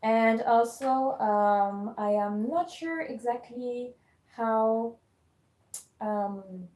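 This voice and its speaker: A woman's voice: a little high, a little mellow. Hesitant French-accented woman, echoey room. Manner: hesitant, many pauses and fillers. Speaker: musician live-streaming. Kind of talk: casual live-stream chat. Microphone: phone.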